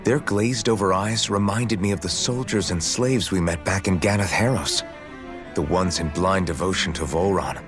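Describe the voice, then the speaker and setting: An actor playing a steady, reassuring young hero who leads the group in a video game, calm and sincere. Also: male voice, low voice, moderate pace, music in the background, natural voice. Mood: resolute, alert. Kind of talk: dramatic video game voice acting.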